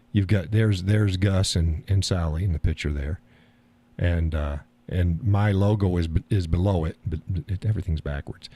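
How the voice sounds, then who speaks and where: A man hosting a live call-in stream, talking in a calm, easygoing way and chuckling often. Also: lowish voice. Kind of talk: casual chat.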